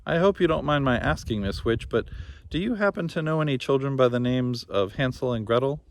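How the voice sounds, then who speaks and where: A man's voice: medium pitch, expressive, a little fast, voice pushed up, close to an everyday voice. A man voicing an anxious, polite, stammering fairy tale prince. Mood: anxious, eager. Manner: hesitant, stammering. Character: anxious, polite, flustered Frog Prince seeking help. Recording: noticeable background noise.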